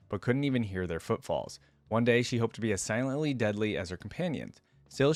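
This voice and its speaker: Low-voiced man reading and reacting casually. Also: no echo. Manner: informal, slangy asides. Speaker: host of a game lore reaction video. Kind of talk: reading a story aloud with casual reaction comments.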